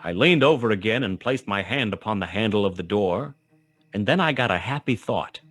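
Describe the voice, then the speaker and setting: A man's voice: fairly low pitch, expressive, a little fast, fairly bright tone, no room sound. A man playing a hardboiled detective, narrating a noir radio play in a wry, terse, dramatic way. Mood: tense, wry. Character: hardboiled male detective narrating his brush with death.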